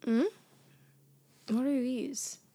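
A young woman in a soft, often whispered ASMR girlfriend roleplay, drowsy and close to the mic, her voice worn down as she loses it to a cold. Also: high-pitched, fairly even intonation, drawn-out words, noisy background. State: losing her voice, congested.

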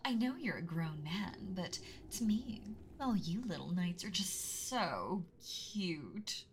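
A woman voicing a gentle, motherly giant female dragon in a whispered roleplay, speaking in a soft, breathy, doting way. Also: lowish voice, sing-song, takes it slowly, slight background noise. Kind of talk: whispered roleplay audio, ASMR.

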